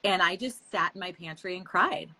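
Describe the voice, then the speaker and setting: A woman in her thirties from the Bay Area, a real estate agent and podcast guest, telling candid, animated stories and laughing often. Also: fairly low pitch, normal speed, poor audio. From San Francisco Bay Area. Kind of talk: casual interview chat.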